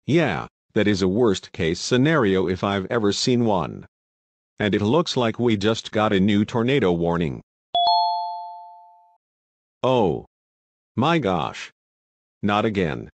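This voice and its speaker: A man's voice: fairly low pitch, very animated, takes it slowly, pauses often, heavily acted. A man playing a grave, alarmed local TV news anchor in live weather coverage. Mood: alarmed, urgent.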